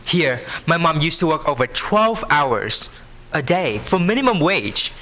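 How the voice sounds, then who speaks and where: A man in his early twenties with a Vietnamese accent, giving an earnest prepared speech to an audience, phrasing deliberately. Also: a little high, very animated, sharp tone. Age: early 20s. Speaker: scholarship program student speaker. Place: live benefit event before an audience.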